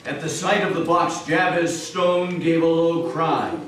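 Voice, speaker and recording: A man with a fairly high voice narrates a story on stage in a large, very echoey hall. He reads dramatically as a storyteller, picked up from a distance with slight noise. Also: a little slow, fairly bright tone, soft background music. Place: large echoey meeting house indoors.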